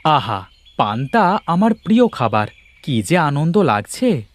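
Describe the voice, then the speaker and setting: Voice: medium pitch, very animated, measured pace. A performer voicing a good-hearted, humble village boy in a cartoon, eager and prone to exclaiming. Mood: cheerful, eager. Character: good-hearted, humble poor village boy who loves simple food.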